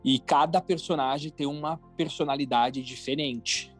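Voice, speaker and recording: A man's voice: fairly high pitch, steady tone, moderate pace, soft background music. A man with a Brazilian accent answering interview questions in an informal, explanatory conversational way. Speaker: podcast guest, cartoon creator and entrepreneur.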